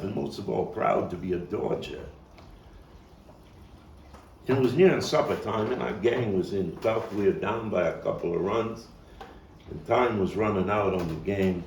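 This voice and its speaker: A man performing a theatrical monologue as a haunted Brooklyn man looking back on his boyhood, halting and dramatic with long pauses. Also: low voice, vocal fry, darkish tone, exaggerated voice. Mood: wistful, proud. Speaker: playwright and actor. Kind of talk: theatrical monologue at a table read. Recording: roomy, distant, noisy live capture.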